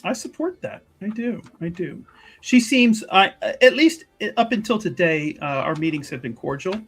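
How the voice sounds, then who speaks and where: Roleplaying game investigator, a polite woman, played by a man, formal and ingratiating, voice a little high for a man. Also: lively intonation, moderate pace, fairly bright tone, slightly rough, exaggerated voice. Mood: placating. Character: polite, diplomatic female investigator, courteous and careful.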